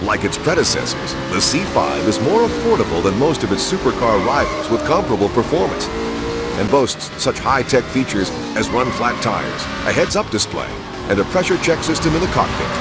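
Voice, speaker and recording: A man reading scripted promotional documentary narration in a polished, measured announcer style. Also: medium pitch, big pitch swings. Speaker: narrator of a racing game's car showcase. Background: music.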